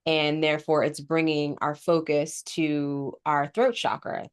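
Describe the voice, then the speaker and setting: A woman's voice: low voice, flat delivery, a little slow, clean tone. A woman co-hosting a casual spiritual podcast, congested from a cold with a sore throat, explaining at length with frequent ums. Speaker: podcast co-host.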